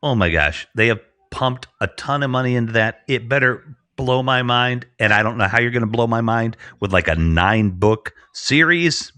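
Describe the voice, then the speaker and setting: A man's voice: medium pitch, animated, moderate pace, sharp tone, clear, resonant tone. A man chatting casually as the host of a livestream. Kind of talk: casual chat.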